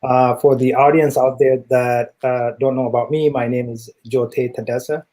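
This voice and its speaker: A middle-aged man with an East African accent explaining himself as an interview guest, earnest and measured, with hesitant phrasing. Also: mid-range voice, steady tone, warm tone. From East Africa, Ethiopia and Kenya. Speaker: interview guest, tech entrepreneur.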